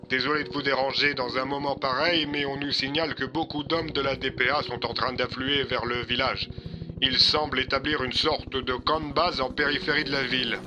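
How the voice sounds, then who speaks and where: A man's voice: medium pitch, level tone, normal speed, background noise, voice pushed up, close to an everyday voice. A man playing a dutiful male police officer reporting to his superior in an audio drama. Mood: dutiful, serious.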